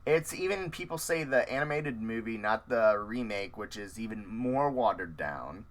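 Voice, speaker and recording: A man hosting a casual interview with cosplayers, talking in an animated, rambling way and laughing often. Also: on the low side, moderate pace. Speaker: interview host.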